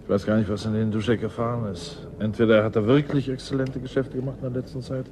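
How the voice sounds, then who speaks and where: An actor playing a world-weary, guarded scientist in a 1980s German radio drama, gruff and dryly ironic. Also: male voice, medium pitch, little pitch movement, fast, noisy background, close to an everyday voice.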